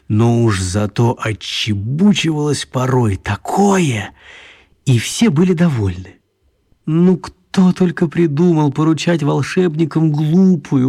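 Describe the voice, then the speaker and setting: Husky, wry male storyteller. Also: on the high side, mellow. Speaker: narrator. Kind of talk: scripted radio play narration.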